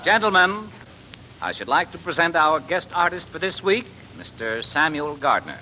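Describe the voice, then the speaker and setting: A man's voice: fairly high pitch, big pitch swings, moderate pace, pushed and bright, slight background noise, heavily acted, rough recording. A man playing a stern, formal orchestra director in a 1940s radio drama. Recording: old radio broadcast with music.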